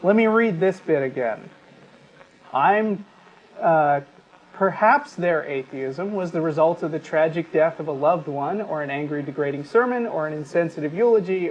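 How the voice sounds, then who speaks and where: A man co-hosting a talk show, speaking in a deliberate, emphatic, articulate way, whether reading aloud or giving his opinion. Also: on the high side, lo-fi sound. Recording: steady background noise.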